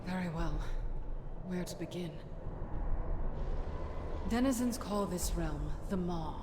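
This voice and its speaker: A woman's voice: fairly low pitch, lively intonation, takes it slowly, noisy background. A woman playing a resolute, troubled human mage leader in a fantasy video game, earnest and commanding. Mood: grave, troubled. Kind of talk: video game drama acting. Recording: loud music behind.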